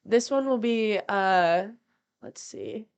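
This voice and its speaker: A woman improvises comedy scenes on a podcast with brash, crude humor. Her pitch sits in the middle range. The room sound is dry. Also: big pitch swings, gravelly, smooth, no room sound. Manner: brash, crude, quick jokes. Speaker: podcast guest. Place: house.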